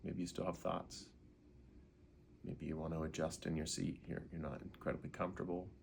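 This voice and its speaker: A man leading a guided meditation in an unhurried way, gently asking questions and leaving pauses. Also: rumbling low, flat delivery, vocal fry, a little hiss or hum.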